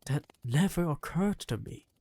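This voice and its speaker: A spirited old grandmother , voiced by an audiobook reader, gives brisk, impatient orders. She speaks slowly with a very lively, swinging melody. The recording is dry and close. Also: mid-range voice, no room sound. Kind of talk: audiobook character reading.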